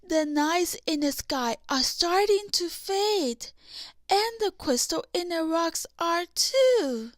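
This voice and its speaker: A helpful, concerned young queen from a fairy tale, as a woman reader puts her on. She speaks in a high voice at a slow storybook pace. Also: animated, dry recording, clean studio sound, heavily acted. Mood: worried.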